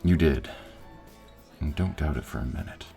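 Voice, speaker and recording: A man acting in an audio roleplay as a dignified older director and supportive family friend, speaking in a formal, kindly, measured way. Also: rumbling low, gravelly, dark tone, soft background music. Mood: sincere, kindly.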